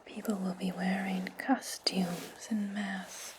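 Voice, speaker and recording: A woman playing a calm, commanding, sly witch in a whispered ASMR fantasy roleplay, instructing her servant slowly and deliberately. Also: mid-range voice, noisy background, lightly acted. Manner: slow, deliberate, hushed instruction.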